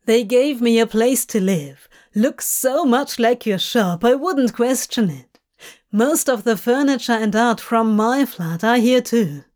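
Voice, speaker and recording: A woman voicing a brash, anxious male demon who swears, delivered louder and rougher than her narration, in a slow audiobook reading. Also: a little high, lively intonation. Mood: emotional.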